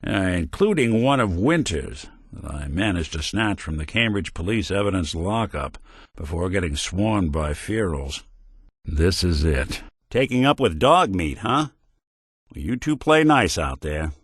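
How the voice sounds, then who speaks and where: Game companion role: middle-aged android private eye, deep register, lively inflection, wry, hard-boiled, world-weary yet kind. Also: male voice, a little slow, breathy edge, no echo, clean studio sound, heavily acted. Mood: casual, businesslike. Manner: wry, hard-boiled old-time detective talk. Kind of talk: video game companion voice lines, noir detective acting.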